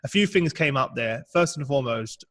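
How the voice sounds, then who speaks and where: A man's voice: mid-range voice, expressive, brisk, fairly bright tone. Upbeat male podcast host. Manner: upbeat, laughs often, repeats words. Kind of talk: casual interview hosting.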